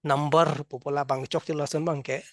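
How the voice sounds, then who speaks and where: A man's voice: on the high side, level tone, fairly bright tone, no room sound, studio quality. Karbi-accented man drilling English sounds. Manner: drills words slowly, repeating sounds for learners. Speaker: English teacher. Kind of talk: classroom lesson, mixing local language and English. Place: classroom.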